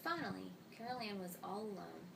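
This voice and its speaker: A woman's voice: medium pitch, brisk, creaky. Woman reading a children's story, noisy distant recording. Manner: expressive, voices the dialogue of characters. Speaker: storybook reader. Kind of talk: children's story read-aloud. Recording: distant mic, loud noise, clicks.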